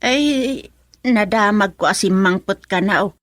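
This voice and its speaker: A woman playing a gossipy village neighbour in a radio drama, with a husky voice and an Ilocano accent. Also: mid-range voice, measured pace, a bit bright, no room sound, studio quality, lightly acted. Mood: nosy.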